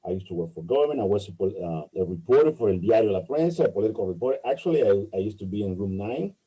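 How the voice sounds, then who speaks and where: A middle-aged man from the Republic, answering interview questions as a candidate, halting with many fillers and restarts. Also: lowish voice, lively intonation, dark tone, slightly rough, rough recording. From Dominican Republic. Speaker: political candidate.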